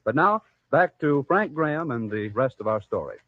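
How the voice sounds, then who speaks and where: A man with an American accent reading a sponsor message as announcer, formal and measured, in 1940s radio style. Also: mid-range voice, expressive, loud music behind the voice. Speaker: program announcer and sponsor spokesman. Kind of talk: 1940s radio scripted commercial narration. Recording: tinny, dry vintage radio recording.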